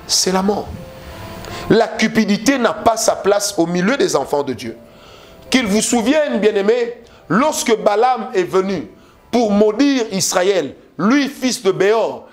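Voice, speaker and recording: High-voiced Congolese preacher, emphatic. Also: male voice. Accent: Congolese French. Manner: emphatic preaching with repeated phrases and rhetorical questions. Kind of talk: sermon.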